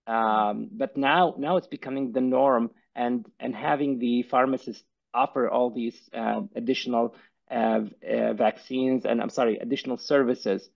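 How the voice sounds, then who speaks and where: Gravelly-voiced man on a headset video call. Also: medium pitch, fairly even intonation, normal speed. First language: Arabic. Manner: earnest, restarts and repeats words often. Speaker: pharmacist and pharmacy owner, guest. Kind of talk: conversational interview. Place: office. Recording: dull lo-fi video call audio.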